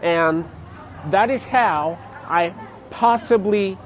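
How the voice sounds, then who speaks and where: A young man from Cameroon chatting casually to his vlog viewers outdoors on a city street, laughing often and pausing with fillers. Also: high-pitched, some chatter behind. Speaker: vlogger. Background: faint street traffic.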